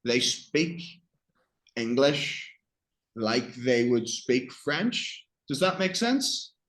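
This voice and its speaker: A man's voice: mid-range voice, big pitch swings, extremely slow, a bit bright. A man with a Northern Irish accent teaching English one-on-one, explaining patiently with examples and questions. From Northern Ireland.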